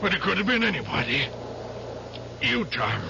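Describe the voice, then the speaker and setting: An actor playing a wounded, fearful male ex-soldier who pleads and denies, in 1970s radio drama style, with a gravelly voice. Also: sits high, big pitch swings, normal speed, heavily acted. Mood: pleading. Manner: pleading, defensive. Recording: dull old radio broadcast with hiss.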